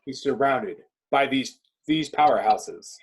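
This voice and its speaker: Husky man narrating an action script. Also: mid-range voice, takes it slowly. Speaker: narrator reading stage directions.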